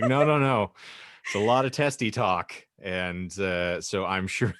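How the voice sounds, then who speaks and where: A man with a slightly low voice that moves around in lively intonation. He trades wry, teasing banter as a podcast co-host, recorded close and dry. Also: no room sound. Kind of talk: casual joking banter.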